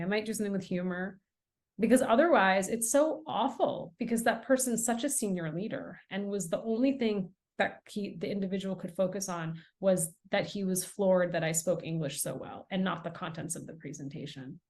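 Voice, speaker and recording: A middle-aged American woman, an author and guest on a podcast interview, telling stories in an earnest, emphatic way. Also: medium pitch. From United States. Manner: earnest, emphatic, animated storytelling.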